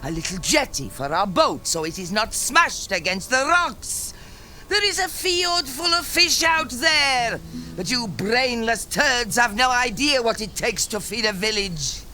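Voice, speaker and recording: Bitter foul-mouthed fishwife from a viking game, forceful voice, takes her time w the insults. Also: a little high, big pitch swings, a little slow, a little hiss or hum, exaggerated voice. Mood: angry, scolding. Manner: crude, insulting, sharp-tongued scold. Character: foul-mouthed, bitter, scolding village fisherwoman. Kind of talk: dramatic game cutscene acting.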